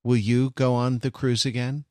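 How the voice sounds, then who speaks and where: A man's voice: medium pitch, little pitch movement, normal speed, darkish tone, dry recording, studio quality. A middle-aged man hosting a casual podcast, bantering in a wry, wordy, mock-pedantic way. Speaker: podcast host.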